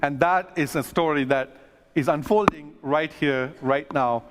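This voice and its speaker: A man from Sri Lanka gives an earnest science talk on a conference stage. His voice sits a bit high and carries a long hall reverb. He speaks thoughtfully, with some fillers and restarts. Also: unhurried, background noise. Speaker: astronomer giving a talk. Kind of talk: stage talk then casual Q&A.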